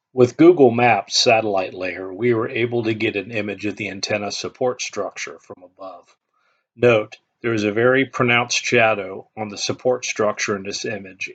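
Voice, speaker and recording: A man giving a measured, matter-of-fact technical narration as the host of a hobbyist channel. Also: lowish voice, a bit bright. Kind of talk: explanatory scripted narration.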